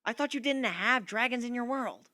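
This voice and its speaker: Man putting on a brusque female griffin, bright-voiced. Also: very high voice, normal speed, no room sound, pitched above the performer's own voice, heavily acted. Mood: puzzled. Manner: brusque, snappish. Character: gruff, easily annoyed female griffin companion.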